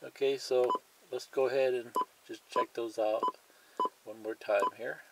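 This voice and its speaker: A man's voice: medium pitch, fairly even intonation, measured pace, vocal fry, heavy background noise. A man presenting a hands-on tutorial in a plain, methodical way, explaining each step and repeating it.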